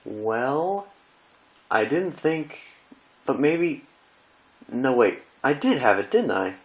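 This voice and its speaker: A man's voice: mid-range voice, big pitch swings, slow pace, pitched above the performer's own voice, low-quality recording. Man reading as a studious young female cartoon pony, patient, matter-of-fact, mildly exasperated, reassuring. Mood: preoccupied.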